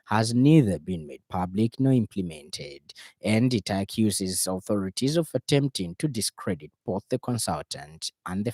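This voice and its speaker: Male radio news reader, Zambian accent, low mellow voice, dry studio sound, formal bulletin script. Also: no room sound.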